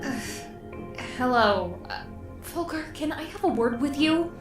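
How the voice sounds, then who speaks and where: An actress playing a protective, scornful alien sister with a cutting, disapproving manner in an audio drama. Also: female voice, high voice, animated, a little slow. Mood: wary. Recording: roomy echo with music underneath.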